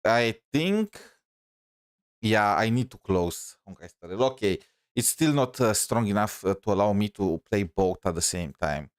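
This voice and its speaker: A man with a lowish voice streams games in a casual, rambling style. He hesitates often and sounds close and dry on the mic. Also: lively intonation, unhurried, clear, resonant tone, no room sound. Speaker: game streamer. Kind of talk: casual live gameplay commentary.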